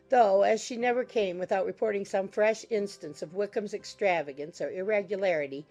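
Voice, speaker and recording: A woman's voice: medium pitch, a little mellow. Woman narrating a classic novel over music. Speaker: audiobook narrator. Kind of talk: scripted literary narration.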